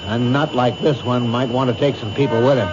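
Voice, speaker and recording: A man plays a tough but cautious police commander in a vintage radio thriller. His medium-pitched voice gives short, commanding orders. Loud music plays behind the muffled broadcast. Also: steady tone, fairly quick, close to an everyday voice. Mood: tense, commanding. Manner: terse, commanding. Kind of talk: old-time radio drama acting.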